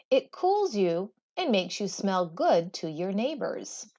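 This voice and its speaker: A woman teacher reading a textbook aloud for schoolchildren in an expressive, deliberate way, with storybook-like phrasing and pauses. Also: medium pitch, muffled recording.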